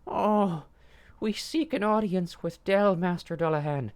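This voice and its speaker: A man voicing a nervous, submissive male adventurer who talks in a high, pleading way. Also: measured pace. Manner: high, pleading and eager to please.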